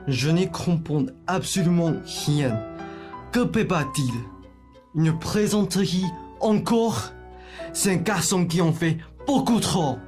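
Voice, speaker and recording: A woman playing a slow-witted, bewildered elderly upper-class gentleman in a radio play. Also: lowish voice, soft background music. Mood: puzzled.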